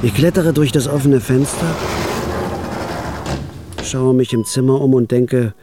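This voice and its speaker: An actor playing a quiet, deadpan hired killer who narrates his own story in a radio drama. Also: a little slow, background noise, close to an everyday voice. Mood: narrating. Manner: deadpan, quiet, low-key. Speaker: narrator and lead.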